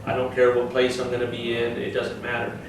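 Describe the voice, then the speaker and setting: A man, a race driver, giving a steady, matter-of-fact talk to a club audience. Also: medium pitch, a little fast. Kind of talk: presentation to a club. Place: meeting room. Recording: echoey room, distant mic, noisy.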